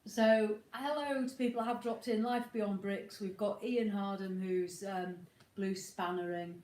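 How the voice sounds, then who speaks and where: A woman's voice: medium pitch, fairly even intonation, measured pace. A woman with a cold and a slightly snotty voice, chatting casually to viewers at home on a livestream. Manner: chatty, rambling, warm greetings to viewers. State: has a cold, a bit snotty. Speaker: co-host of a motorhome live chat. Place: at home, indoors. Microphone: iPad. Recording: roomy echo.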